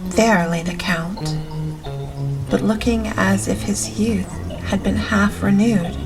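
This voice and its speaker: A woman reading an audiobook in a gentle, soft, measured and dramatic way. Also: mid-range voice, steady tone. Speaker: audiobook narrator. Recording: music behind the reading. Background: music, storm ambience of rain and thunder.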